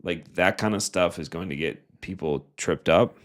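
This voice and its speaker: A man's voice: deep voice, normal speed. A man co-hosting a podcast, talking casually and colloquially about his work. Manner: colloquial, acts out imagined quotes. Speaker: podcast co-host and digital marketer.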